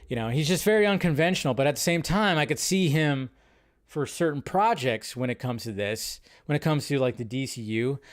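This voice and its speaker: A man's voice: fairly high pitch, clear, resonant tone. A man hosting a live stream, chatting casually and thinking aloud as he goes, with frequent restarts. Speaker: host.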